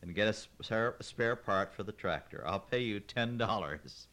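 A man's voice: fairly low pitch, a little slow, pushed and bright. A man voicing a middle-aged farm wife who is exasperated and sarcastic, in a read-aloud story. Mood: firm.

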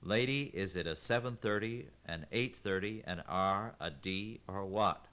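A man doing a slow, patient parts clerk. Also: sits low, pushed and bright, clean tone. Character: patient parts counter man, polite and plodding.

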